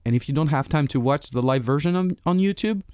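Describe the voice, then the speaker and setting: A man with a French accent hosting a podcast, talking in an animated, chatty way with frequent restarts. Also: on the high side, fast, smooth, no room sound, studio quality. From France. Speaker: podcast host. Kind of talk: casual interview chat.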